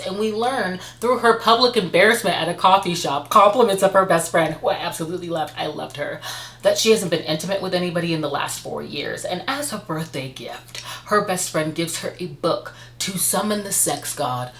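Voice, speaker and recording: A woman hosting a casual book review vlog, speaking to viewers in an enthusiastic, expressive and emphatic way. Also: lowish voice, roomy sound. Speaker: book review vlogger and host. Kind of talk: casual monthly reading wrap-up to camera.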